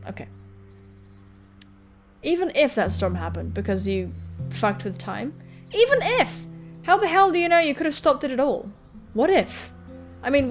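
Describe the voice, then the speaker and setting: A woman voicing a blunt, rattled young punk woman in a visual novel, slangy and sweary with halting phrasing. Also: high voice.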